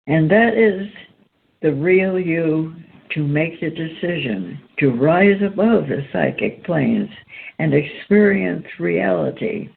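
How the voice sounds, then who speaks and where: Slow, gravelly woman on a tinny line. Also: deep voice. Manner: halting, deliberate phrasing. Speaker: host and reader. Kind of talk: slow read-aloud of spiritual text. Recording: tinny phone-line sound, background noise.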